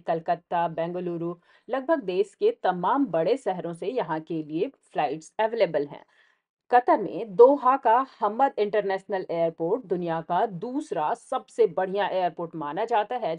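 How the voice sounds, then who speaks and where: A woman with an Indian accent reads scripted travel vlog narration, explaining things directly to the viewer. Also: fairly low pitch.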